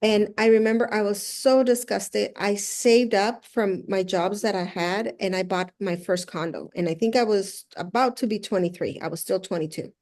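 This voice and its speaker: A woman's voice: mid-range voice, steady tone, moderate pace, few pauses, a little mellow. Middle-aged woman from El Salvador, earnest storyteller. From El Salvador, moved to the US at six. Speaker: interview guest, luxury house flipper. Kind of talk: conversational interview storytelling.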